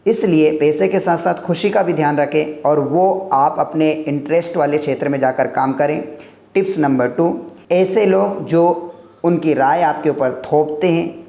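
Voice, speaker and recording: A man's voice: a little high, vocal fry, a little mellow, roomy sound. A man giving an earnest motivational talk on career choices, stacking points in lists, as a teacher addressing his viewers. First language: Hindi.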